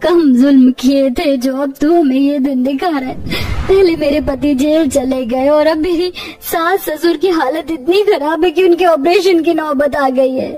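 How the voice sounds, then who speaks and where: A woman's voice: sits high, a little slow, warm tone. A woman playing a poor, devoted young mother in a cartoon story, plaintive and emotional. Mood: despairing. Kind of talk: dramatised children's cartoon story. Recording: distant-sounding, music underneath.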